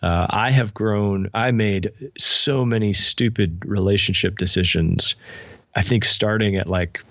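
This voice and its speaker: A man speaking as an interview guest in a thoughtful, measured, explanatory way. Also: on the low side, a bit bright, dry recording, dull audio. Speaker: podcast guest, spiritual director and author. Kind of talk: reflective interview conversation.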